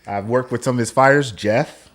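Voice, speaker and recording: A man's voice: mid-range voice, normal speed. A man co-hosting a podcast and interviewing the guest, casual and affirming. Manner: affirming, asks guest questions with many "okay" and "yep". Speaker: podcast co-host, boxer.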